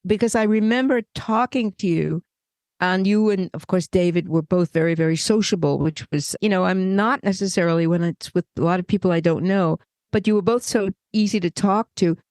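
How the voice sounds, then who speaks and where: An older woman co-hosting a podcast, telling stories in a lively, conversational way. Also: lowish voice, brisk, no room sound, studio quality. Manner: animated storyteller, acts out remembered dialogue. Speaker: podcast co-host. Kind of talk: casual conversation.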